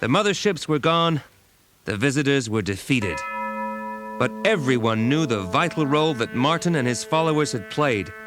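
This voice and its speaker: A man reads a scripted dramatic story as narrator. His voice sits at a medium pitch, with music playing softly behind it. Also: expressive, moderate pace.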